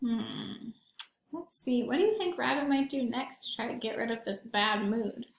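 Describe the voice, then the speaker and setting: A woman reading a picture book aloud to young children in an expressive, emphatic way, pausing to put questions to her listeners. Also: on the high side, gravelly, roomy sound.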